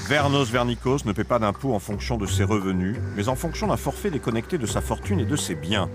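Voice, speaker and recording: A man reading a scripted documentary narration in a measured, grave manner. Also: on the low side, expressive. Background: music under the voice.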